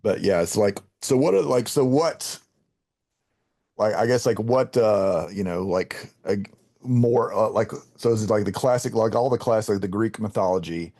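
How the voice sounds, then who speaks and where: A man's voice: fairly low pitch, normal speed, dark tone. A man hosting an interview in casual chat, rambling and hesitant as he circles toward each question. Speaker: podcast host, interviewer.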